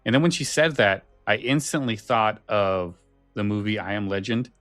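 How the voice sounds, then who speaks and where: A man co-hosting a podcast, talking casually and haltingly, repeating phrases as he thinks. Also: fairly low pitch, a bit bright, no echo, faint music behind. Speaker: podcast co-host.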